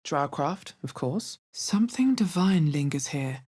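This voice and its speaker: An actress voicing a guarded, practical female adventurer in a video game, with a husky voice and dry, understated delivery. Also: deep voice, animated, unhurried, a little mellow, full character voice. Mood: wry, focused. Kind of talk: video game character voice lines.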